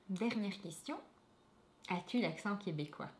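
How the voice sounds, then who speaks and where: A woman's voice: medium pitch, slow pace, reverberant, a little hiss or hum. A young woman from Belgium with a Belgian French accent lightly colored by Québec, chatting casually to her viewers with lots of fillers and restarts. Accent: Belgian French, lightly tinged by Québec. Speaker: vlogger answering viewer questions. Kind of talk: casual vlog talk.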